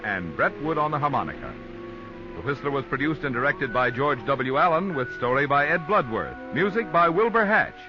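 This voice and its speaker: A man with an American accent announcing in a polished, formal, emphatic 1940s radio style from a script. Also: a little high. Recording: muffled old radio recording with music. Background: music.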